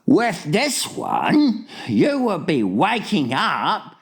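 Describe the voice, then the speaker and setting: A woman voicing an excitable, kindly male giant in a storybook, with quaint mangled grammar and emphatic, drawn-out exclamations. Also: fairly low pitch, vocal fry, pitched above the performer's own voice, full character voice. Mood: grim, agitated. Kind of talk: storybook character voice in a dramatic reading.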